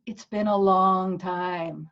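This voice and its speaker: A woman playing a gentle, wistful nun with a cheeky streak in a comedy play table read, hesitant and teasing. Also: fairly low pitch, fairly even intonation, slow, highs cut off.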